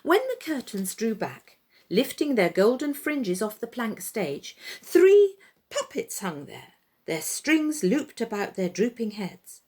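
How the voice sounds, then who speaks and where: A woman reading a children's tale as a storyteller, in dramatic, expressive narration with deliberate phrasing and pauses. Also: fairly high pitch.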